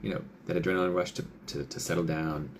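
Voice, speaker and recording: A man with a very low voice and a gravelly edge to it, talking as a doctor. He chats loosely, with lots of fillers, and slips into little acted-out lines of dialogue. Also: level tone, fast talker, noisy background. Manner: chatty, many fillers, acts out quoted patient and doctor lines. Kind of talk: casual advice talk.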